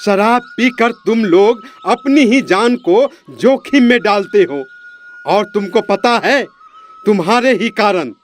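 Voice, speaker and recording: A man voicing a stern holy man in a Hindi moral cartoon, lecturing emphatically with repeated admonishments. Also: high voice.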